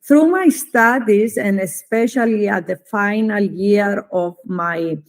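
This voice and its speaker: A middle-aged woman with a Greek Cypriot accent, a native Greek speaker, giving formal, deliberate academic answers as an interview guest, with frequent pauses. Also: mid-range voice. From Cyprus. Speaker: economics professor, interview guest.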